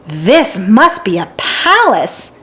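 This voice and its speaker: A woman voicing a small male toy bear in a storybook, gentle, earnest and full of wonder. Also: medium pitch, very animated, slow.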